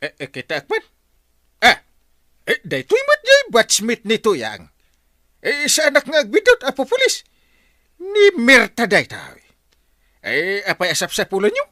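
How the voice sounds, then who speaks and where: Squawking, excitable village captain. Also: male voice, extremely high pitch, unhurried, pauses often, no room sound, studio quality, exaggerated voice. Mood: startled, excitable. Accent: Ilocano. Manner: exclaiming, stretched words, sudden squawks. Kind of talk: comedic radio drama acting.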